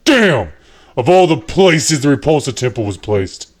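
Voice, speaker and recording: A man's voice: mid-range voice, big pitch swings, a little slow, voice pushed up. A man playing an anguished heroic warrior with emotional, broken delivery in an audio drama. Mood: frustrated. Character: heroic male warrior, anguished, devoted old friend. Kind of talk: fan audio drama hero acting.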